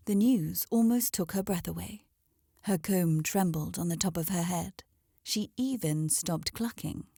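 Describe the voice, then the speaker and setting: A woman's voice: mid-range voice, mellow, no echo, studio quality. A woman narrating a children's storybook aloud as a scripted read-aloud.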